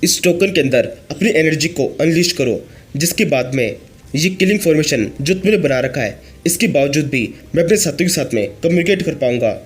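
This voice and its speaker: Hoarse husky voice, man reading an arrogant young prince, bargaining tone. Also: fairly high pitch, animated, a little fast. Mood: indignant. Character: arrogant young male prince, shaken but scheming.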